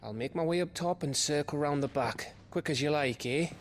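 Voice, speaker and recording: An actor playing a cheeky, blunt rogue ally in a video game, with a British accent and colloquial speech. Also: male voice, on the high side, moderate pace, noisy background, lightly acted. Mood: brisk, wry. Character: cheeky, foul-mouthed rogue ally, adult man.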